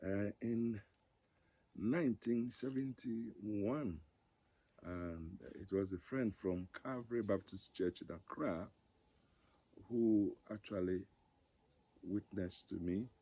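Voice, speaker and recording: Deep, husky older man speaking slowly. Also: warm tone, no echo. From Ghana. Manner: measured, with frequent pauses. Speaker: regional ministry director. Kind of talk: interview testimony.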